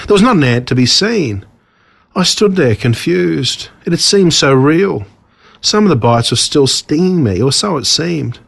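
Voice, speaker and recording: An Australian man reads a children's story as a narrator, expressively and with dramatic pauses on key words. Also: fairly high pitch, animated, gravelly, slightly rough. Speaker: author reading own story.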